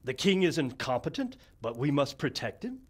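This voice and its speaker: A skeptical, husky-voiced noble. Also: male voice, mid-range voice, very animated, moderate pace. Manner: skeptical, questioning.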